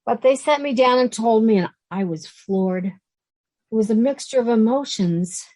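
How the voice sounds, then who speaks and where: A woman acting a grieving, emotional woman in her late fifties in a play reading, speaking in a halting, heartfelt way. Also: on the high side, expressive, darkish tone. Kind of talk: play reading, naturalistic dialogue.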